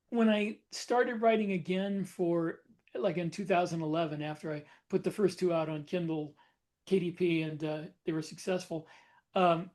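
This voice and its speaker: Relaxed, rambling middle-aged man. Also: fairly high pitch. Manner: relaxed, rambling, self-correcting. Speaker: podcast co-host, author. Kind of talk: casual panel chat.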